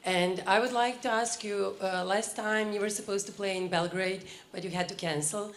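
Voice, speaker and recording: A woman's voice: mid-range voice, steady tone, normal speed, a little hiss or hum. A woman newspaper journalist with a Serbian accent, asking a question at a press conference.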